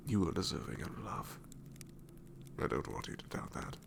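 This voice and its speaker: A man's voice: lively intonation, normal speed, background noise. A man playing an ancient, sassy shadow demon in an ASMR roleplay, wry and world-weary but tenderly reassuring, often slipping into a whisper. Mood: gently reassuring. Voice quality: very deep, gravelly, often breaking into whisper.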